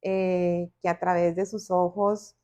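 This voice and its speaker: A middle-aged woman with a Colombian accent, talking reflectively and deliberately, with pauses, as a podcast guest. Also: lowish voice, level tone, warm tone. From Bogotá, Colombia. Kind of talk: reflective personal interview talk.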